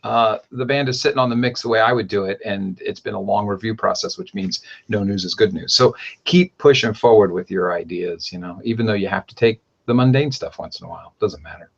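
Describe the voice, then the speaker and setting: A man hosting a podcast, talking in a rambling, animated way and often correcting himself mid-sentence. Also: lowish voice, brisk, creaky, few pauses, slightly rough, highs cut off. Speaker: podcast host. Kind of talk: casual podcast chat.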